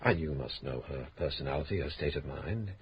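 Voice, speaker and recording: An actor playing a polite, probing police inspector in a radio drama, courteous and measured. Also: male voice, very deep voice, lo-fi sound, a little hiss or hum. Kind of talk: 1970s-80s radio drama acting.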